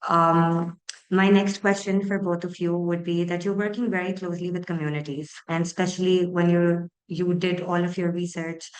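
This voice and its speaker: A woman hosting and moderating a panel discussion, posing long, measured, multi-part questions. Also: on the low side, low-quality recording. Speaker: host and moderator.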